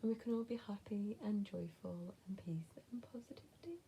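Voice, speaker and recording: A woman's voice: medium pitch, moderate pace, vocal fry, warm tone, a little hiss or hum. A woman in her thirties with a West Midlands accent, chatting casually to camera as she answers viewer questions, blunt and with whispered asides. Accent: West Midlands English. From England.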